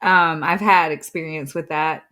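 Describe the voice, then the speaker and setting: A woman's voice: sits low, fairly even intonation, unhurried, bright, forceful tone. A woman co-hosting a podcast, musing and reacting agreeably in casual conversation. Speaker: podcast co-host.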